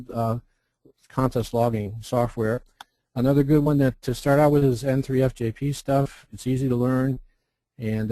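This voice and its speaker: A man's voice: medium pitch, dark tone, no room sound, rough recording. An American man giving an informal instructional presentation, explaining things by posing questions and then answering them. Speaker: presenter, contest representative.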